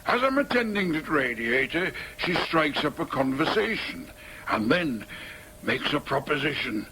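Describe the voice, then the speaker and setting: An actor playing a plain-spoken Northern English working man, telling his story in a deliberate radio monologue. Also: a little high, sing-song, pushed and bright, a little hiss or hum. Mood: matter-of-fact recounting. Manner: matter-of-fact, deliberate. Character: plain-spoken former maintenance man recounting a confession. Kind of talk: first-person dramatic monologue.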